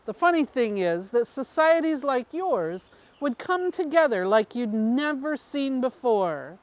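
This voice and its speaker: A woman's voice: fairly high pitch, a bit bright, no echo, full character voice. A woman reading the part of an undercover alien woman and devoted mother, explaining her mission in an earnest, wry, matter-of-fact way. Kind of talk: first-person story reading.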